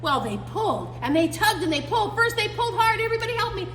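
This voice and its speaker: A woman librarian reading a picture book aloud to children, slow and animated, with big swings in her voice. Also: very high-pitched, echoey room, long reverb tail, slight background noise. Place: library room.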